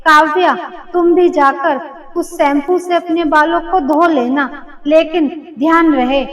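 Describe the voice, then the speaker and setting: A young cartoon fairy-tale heroine voiced by a woman speaks extremely high, with theatrical exclamations. The sound is distant from the mic and full of echo. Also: fairly even intonation, unhurried. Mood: kindly. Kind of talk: Hindi cartoon story voice acting. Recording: echoey, distant-sounding voice track.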